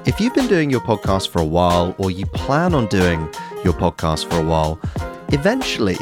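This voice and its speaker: Low-voiced man with very animated delivery. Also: normal speed, over music. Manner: addresses listeners directly, explanatory, rhetorical questions. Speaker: podcast host. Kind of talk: scripted solo podcast advice to creators.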